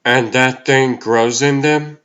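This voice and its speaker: Man playing a slow-talking, stern superhero. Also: mid-range voice, steady tone, a bit bright, clean tone, lo-fi sound. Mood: defiant. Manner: dry, unimpressed, firm. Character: defiant, wary adult superhero, plainspoken and stern. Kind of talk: comic dub voice acting.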